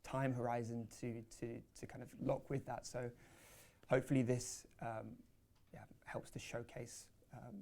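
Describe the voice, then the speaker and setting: A man's voice: medium pitch, little pitch movement, unhurried, mellow. A man presenting research and answering audience questions at a panel, speaking hesitantly with many fillers and restarts.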